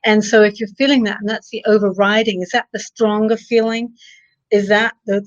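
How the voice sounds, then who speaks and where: A woman's voice: mid-range voice, dry recording. Encouraging woman coaching over a dull line. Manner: encouraging, gives practical advice with sayings. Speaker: psychic coach and live show host. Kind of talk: coaching guest in a live video chat. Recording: dull dry sound.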